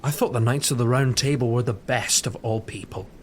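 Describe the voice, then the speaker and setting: An actor playing a hot-headed, idealistic young knight in an audio drama, blunt and earnest. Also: male voice, medium pitch, brisk, a little hiss or hum, close to an everyday voice. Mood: defiant, indignant.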